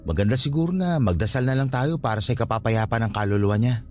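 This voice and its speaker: A Filipino man acting in a radio drama as a calm, respectful young caretaker of a haunted guesthouse, speaking politely and reassuringly. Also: mid-range voice, fast, a little hiss or hum, lightly acted. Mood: matter-of-fact.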